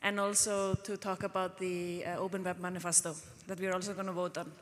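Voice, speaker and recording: A woman from Iceland, chair of the board, opening a public meeting in a relaxed, chatty way with many fillers. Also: fairly low pitch, a little fast, a little hiss or hum. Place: conference hall stage. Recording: long hall reverb.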